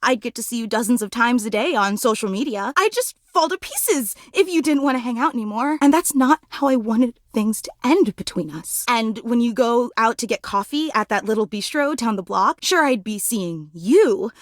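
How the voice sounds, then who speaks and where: A woman's voice: on the high side, lively intonation, normal speed, few pauses, dry recording, clean studio sound, natural voice. A woman playing a clingy, overemotional superfan in a video game, with a melodramatic, effusive, fawning manner. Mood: clingy, apologetic. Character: gushing, clingy, overemotional female superfan, obsessively devoted. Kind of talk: video game character voice acting.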